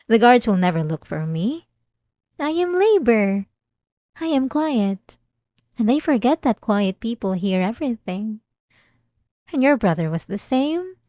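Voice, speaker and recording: Casting: woman to play obsessed maid for ASMR roleplay. Female, higher-pitched, unhurried, composed and formal, quietly menacing devotion, dry intimate sound. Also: very animated, dry recording. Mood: gleeful, proud, then cold, resolute. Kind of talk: scripted ASMR roleplay audio drama.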